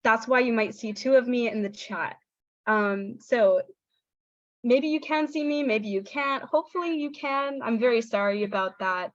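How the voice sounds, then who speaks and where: A young woman with a Southern US accent speaks in a fairly high voice, chatty and apologetic, with plenty of ums. Her sound is dull and lo-fi, and the video call audio is bone dry. She is presenting an online teaching workshop. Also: moderate pace, no room sound. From Southern United States. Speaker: guest speaker, English teaching assistant. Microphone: video call on phone or computer.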